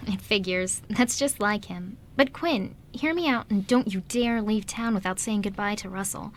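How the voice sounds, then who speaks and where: A woman's voice: medium pitch, moderate pace, a little hiss or hum, close to an everyday voice. A woman playing a caring, frank female friend on a call in an amateur radio drama, chiding but kindly. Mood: concerned, chiding.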